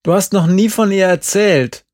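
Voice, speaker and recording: A man voicing a frantic, bossy teenage boy who shouts and swears in an audiobook. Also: high voice, moderate pace, dry recording, studio quality, pitched above the performer's own voice, close to an everyday voice. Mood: urgent.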